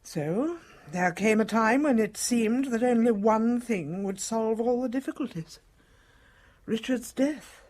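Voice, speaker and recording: An older woman playing a dying British matriarch in a radio drama, speaking in a measured, formal, guarded way. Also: on the high side, darkish tone. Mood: guarded, composed. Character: composed, dying elderly matriarch with secrets.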